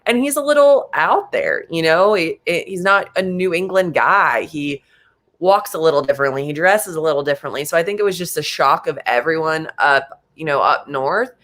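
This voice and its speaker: A man in his late twenties co-hosting a casual sports talk show, animated and quick in bursts, with many fillers. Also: a little high. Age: late 20s.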